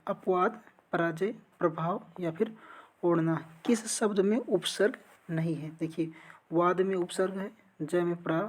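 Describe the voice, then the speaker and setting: Low-pitched woman teaching. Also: a little mellow, breathy edge. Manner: instructional, poses questions then answers them. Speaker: exam-prep teacher. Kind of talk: lesson going through multiple-choice quiz questions.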